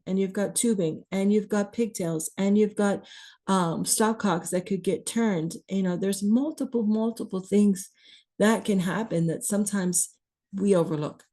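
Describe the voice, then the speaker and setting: A woman teaching clinicians about priming and running a circuit, slightly hoarse as she is losing her voice, in a measured, explanatory way. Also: medium pitch, warm tone. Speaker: ECMO educator. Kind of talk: instructional talk.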